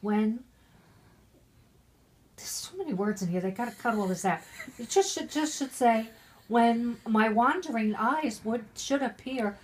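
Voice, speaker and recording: A woman's voice: a little high, expressive, a little slow, long pauses, rough recording. A middle-aged woman, very drunk, reading a Christmas storybook poem aloud, stumbling over words and breaking off into irreverent asides. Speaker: mother reading a Christmas poem to her family.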